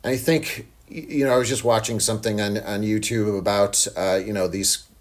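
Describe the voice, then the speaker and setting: A man's voice: lowish voice, steady tone, moderate pace. An American man hosting an interview podcast, chatty and friendly with lots of fillers. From United States. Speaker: podcast host.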